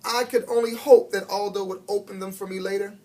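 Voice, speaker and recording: A man reading a children's book aloud as an expressive storyteller, with dramatic emphasis and drawn-out words. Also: sits high. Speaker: guest reader of a children's book.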